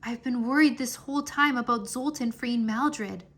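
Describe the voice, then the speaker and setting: A woman's voice: a little high, moderate pace, a bit bright. A woman voicing an earnest young boy hero, a dragon master in a children's fantasy storybook, speaking with urgency. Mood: worried.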